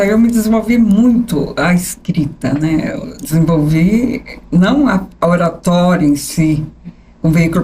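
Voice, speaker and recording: A woman in her sixties with a São Paulo accent, a journalist telling her life story as a podcast guest, animated and often asking "né?". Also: medium pitch, slight background noise. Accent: São Paulo capital Portuguese. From São Paulo state. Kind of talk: conversational interview storytelling.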